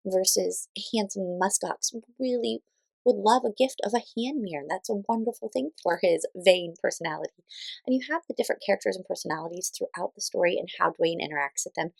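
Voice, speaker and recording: A woman in her thirties talks casually through book recommendations in a mid-pitched voice with noticeable vocal fry. She explains informally, thinking aloud and feeling her way through each point. The sound is dry and close. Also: no echo, low-quality recording. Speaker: parent reviewing children's read-aloud books.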